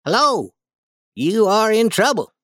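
A man voicing a cocky, eager young boy in a high, bright voice. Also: sing-song, unhurried, no echo, clean studio sound, heavily acted. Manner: high, bright, pleading boyish voice.

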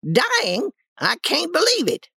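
An anxious old aunt as an adult narrator puts her on, very high and swooping. She is fussy and stern, exclaiming fretfully in storybook fashion. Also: huge pitch swings, unhurried, pushed and bright, no room sound, studio quality, heavily acted. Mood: shocked. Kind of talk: children's audiobook character voice.

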